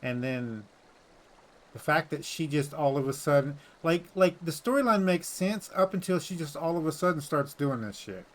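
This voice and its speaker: A man's voice: fairly high pitch, lively intonation, normal speed, a bit bright. A man in casual, colloquial gaming talk, making his points insistently with some mild swearing. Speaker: gaming channel host. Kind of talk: casual gameplay chat about story.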